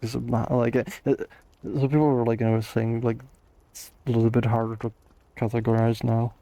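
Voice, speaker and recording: Tired rambling man, gravelly and flat. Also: medium pitch, fairly quick, no echo. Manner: rambling, many fillers and restarts. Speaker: host answering viewer questions. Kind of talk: casual livestream chat.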